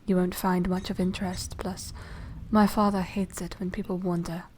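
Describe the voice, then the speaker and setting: A woman playing a polite, secretive young noble vampire lady in a soft, half-whispered ASMR roleplay, speaking formally and with measured care. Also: fairly low pitch, flat delivery, slight background noise, lightly acted. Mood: politely firm. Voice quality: soft, breathy whispering edge. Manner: hushed, formal, measured, genteel.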